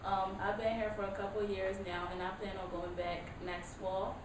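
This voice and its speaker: A woman from Liberia who is a hairstylist, introducing herself calmly with small hesitations. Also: medium pitch, normal speed. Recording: very echoey room, far mic, noisy.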